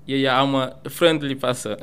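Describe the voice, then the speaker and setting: A man who is a migrant learning English speaks in halting, simple sentences full of fillers about his new life. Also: medium pitch, steady tone, a bit bright, smooth. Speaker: migrant English student on community radio. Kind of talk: personal talk.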